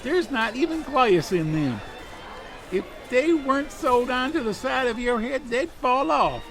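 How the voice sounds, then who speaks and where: A man's voice: very high-pitched, animated, a little slow, over music, full character voice. A man voicing a cute, innocent and slightly dim teddy bear in a comic audio drama, hesitant and easily sidetracked. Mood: defensive, innocent.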